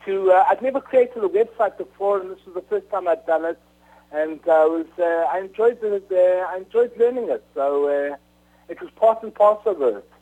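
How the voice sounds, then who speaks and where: A middle-aged man with a South African accent answering interview questions in a halting way, full of uhs and restarts. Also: sits high, expressive, warm tone. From Rhodesia, now Zimbabwe. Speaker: author guest. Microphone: phone. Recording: tinny phone line.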